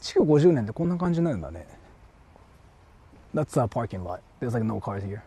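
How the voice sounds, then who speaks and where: A young man, walking around outdoors and chatting casually with livestream viewers, often speaking in a hushed, murmuring voice. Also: medium pitch, sing-song, quick pace, a little hiss or hum. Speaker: vlogger streaming while exploring. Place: outdoors walking through an empty housing estate.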